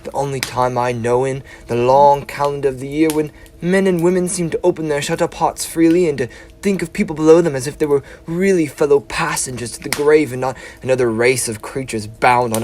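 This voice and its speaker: A man's voice: on the high side, close to an everyday voice. A young man acting as a cheerful, earnest nephew in a school stage play, pleading and speechmaking. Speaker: stage actor.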